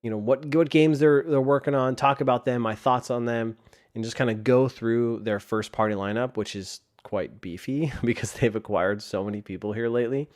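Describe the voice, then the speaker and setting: A man's voice: mid-range voice, normal speed, darkish tone. A man hosting a solo podcast in a casual, rambling way, his voice tired from a sore throat. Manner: rambling with frequent restarts. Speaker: podcast host. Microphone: desk microphone.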